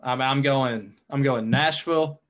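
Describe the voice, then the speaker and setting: A man's voice: mid-range voice, a little slow. Laid-back young Southern guy. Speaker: podcast guest, pro pitcher. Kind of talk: casual podcast chat.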